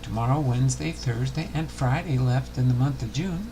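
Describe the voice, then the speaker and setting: Gravelly older man chatting on a noisy livestream. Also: mid-range voice, normal speed. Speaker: livestream host. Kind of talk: casual livestream chat.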